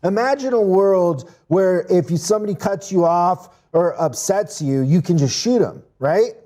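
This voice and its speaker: A man's voice: high-pitched, animated, normal speed, warm tone. A man who grew up in Mexico, a podcast guest talking business in an earnest, casual way and often restarting his words. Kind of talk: casual interview talk.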